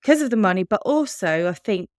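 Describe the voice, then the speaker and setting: A woman in her thirties with an English accent talks candidly on a podcast, laughing now and then. Her voice is smooth and clean. The sound is dry and close. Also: mid-range voice, lively intonation, a little fast, dry recording. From Switzerland. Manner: chatty, candid, laughs often. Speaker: podcast co-host. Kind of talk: casual chat.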